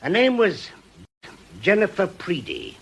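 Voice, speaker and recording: An actor in a radio drama playing an indignant, obsessed widower, insistent, accusing and scornful, with a rough edge to his voice. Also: high-pitched, huge pitch swings, unhurried, fairly bright tone, slight background noise, exaggerated voice. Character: indignant, obsessed widowed husband hunting witches.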